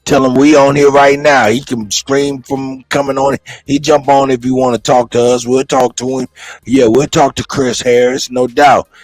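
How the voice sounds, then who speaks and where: Male live stream host, casual slangy shout-outs, repeats himself, mid pitch, dry sound. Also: little pitch movement, moderate pace, no echo, low-quality recording.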